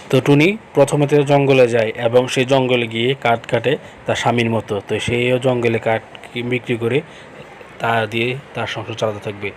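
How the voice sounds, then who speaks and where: A man's voice: mid-range voice, a little hiss or hum. A man narrating a cartoon folk tale in a simple, repetitive storytelling manner.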